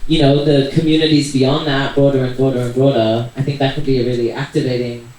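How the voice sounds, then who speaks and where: A man's voice: mid-range voice, flat delivery, fast, a little mellow. A man on a panel talking casually and reflectively, explaining his points and checking in with listeners. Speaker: panel speaker. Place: echoey event venue. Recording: very echoey room, distant mic, faint noise.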